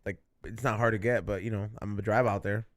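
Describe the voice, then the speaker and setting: A man's voice: lowish voice, fairly even intonation, fast talker. A man hosting a podcast in casual, rambling chat, cursing freely and laughing often. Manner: laid-back, rambling, swears a lot. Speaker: podcast host.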